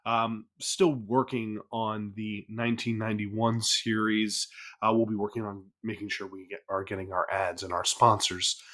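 A man hosting a sports podcast talks in a loose, rambling way, with lots of "uh" and "you know" and sentences he restarts. His voice sits on the low side and sounds a little off from a cold he is getting over. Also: little pitch movement, moderate pace, a bit bright. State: recovering from a cold. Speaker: podcast host. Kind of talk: casual baseball recap talk.

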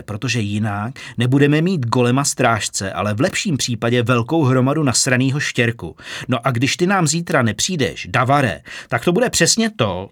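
A man's voice: on the low side, a bit bright, no echo, studio quality. A man voicing a weary male team leader who explains things patiently but insistently.

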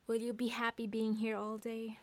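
A woman playing a sharp-tongued, bossy wife and mother who teases bluntly in a scripted audio comedy drama. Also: fairly high pitch, completely flat delivery, normal speed, dry recording, close to an everyday voice. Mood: dry teasing. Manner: sarcastic, bantering, blunt.

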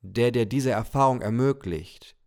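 A man voicing a calm, kindly male God in a podcast story, gently explaining things. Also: medium pitch, unhurried, lightly acted.

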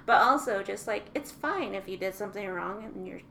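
A young woman co-hosting a podcast in casual chat, earnest and soft-spoken. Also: mid-range voice, lively intonation, brisk, vocal fry. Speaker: podcast co-host. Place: small room, mic on table. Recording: faint background noise.

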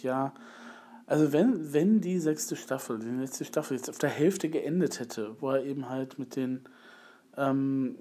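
A man's voice: medium pitch, warm tone. A man with a slight cold giving a rambling, hesitant spoken review in a casual monologue. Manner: rambling, hesitant, repeats filler phrases. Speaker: video blogger.

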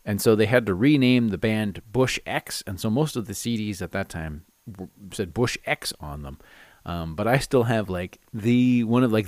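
A middle-aged man, hosting a solo podcast with casual, animated, self-amused stories and rants. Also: lowish voice, no room sound. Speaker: podcast host. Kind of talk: casual solo storytelling and ranting.

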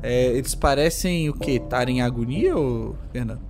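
A man's voice: mid-range voice, normal speed. Man roleplaying casually over faint music. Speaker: tabletop RPG player.

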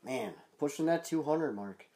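A man's voice: fairly high pitch, lively intonation, measured pace, dark tone. A man co-hosting a wrestling podcast in casual chat, sounding stuffed up and nasal from congestion. He rambles loosely, with fillers and restarts. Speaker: podcast co-host.

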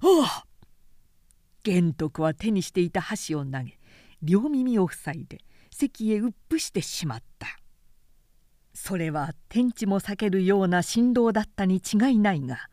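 A woman giving a measured, dramatic audiobook reading of a historical novel in an expressive storytelling delivery. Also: mid-range voice, no room sound, clean studio sound. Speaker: narrator.

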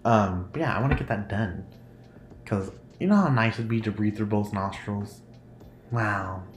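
A man's voice: lowish voice, moderate pace, soft background music. A man with a nasal voice hosting a casual solo podcast, rambling and laughing often. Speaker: podcast host. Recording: roomy echo, faint noise.

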